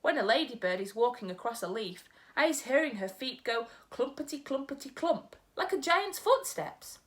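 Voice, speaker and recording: Gentle male giant with odd mangled grammar, earnest and kindly, lively rising and falling tone, as a woman reads him in a storybook. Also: fairly high pitch, normal speed, pitched above the performer's own voice. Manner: quirky broken grammar, warm earnest storytelling.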